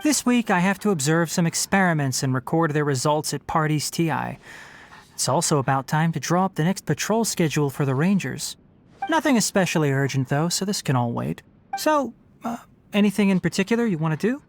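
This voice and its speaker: A woman voicing a witty, scholarly young fox-eared forest ranger in a game, speaking articulately with a lecturing, gently teasing manner. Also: deep voice, lively intonation, brisk, slight background noise. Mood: casual, teasing. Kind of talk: scripted game character voice lines.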